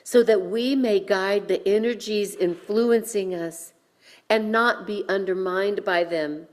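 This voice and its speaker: Female minister, medium pitch, unhurried deliberate sermon delivery with spaced-out phrases. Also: fairly even intonation. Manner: deliberate, measured phrasing with pauses. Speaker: minister leading a worship service. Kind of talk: sermon with guided breathing.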